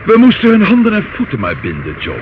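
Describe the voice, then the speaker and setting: An actor playing a wry, gruff London police inspector in an old radio play, colloquial and theatrically expressive. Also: male voice, high-pitched, quick pace. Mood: curt, commanding. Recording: muffled phone-like old radio recording, faint noise.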